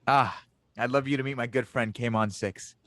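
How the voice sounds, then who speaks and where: A man's voice: medium pitch, steady tone, normal speed, sharp tone, no room sound, natural voice. A man playing an earnest, teasing inventor in a fan audio drama table read. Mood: playful, upbeat. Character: warm, teasing male inventor, reunited with an old friend.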